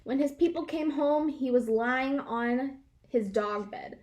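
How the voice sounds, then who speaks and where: A woman reading a picture book aloud to children in an expressive storytelling style. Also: high-pitched, slow. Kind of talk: animated children's read-aloud narration.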